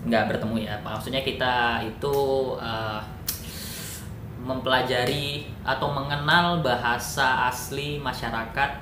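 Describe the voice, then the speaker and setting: A man's voice: fairly even intonation, unhurried. A man casually explaining words in an educational video, thinking aloud with fillers, self-corrections and jokey asides. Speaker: educational YouTuber. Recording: echoey room with noise and rumble.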